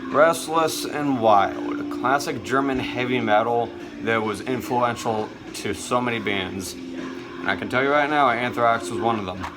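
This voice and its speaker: A man's voice: mid-range voice, measured pace, loud music behind the voice, poor audio. A young man showing off his cassette collection in a casual, enthusiastic vlog, with plenty of fillers. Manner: enthusiastic, chatty, with many uh fillers. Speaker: cassette collector and video host. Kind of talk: casual show-and-tell vlog.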